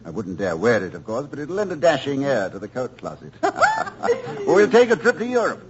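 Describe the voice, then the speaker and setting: A man's voice: medium pitch, wildly swooping pitch, a little fast, slight background noise. An actor playing a cheerful, stammering male college president in a 1940s radio drama, on a muffled old broadcast recording. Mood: jolly. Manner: hesitant, stammering, chuckling.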